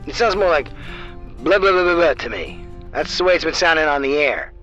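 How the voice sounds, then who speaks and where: Animated, irascible man on the phone. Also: on the high side, a little fast. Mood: scolding, sarcastic. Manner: blunt, scolding, sarcastic. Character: Bob, irascible, blunt, wisecracking radio colleague man. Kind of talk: radio drama acting, old-time slangy patter. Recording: dull band-limited phone line, music behind.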